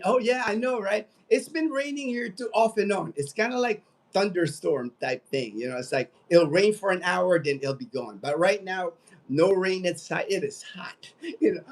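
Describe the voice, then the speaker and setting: American male livestream host, late 50s, slightly high-pitched voice, loose conversational delivery that wanders and circles back. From United States. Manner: rambling, conversational, repeats phrases. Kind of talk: casual livestream chat, reading out viewer comments.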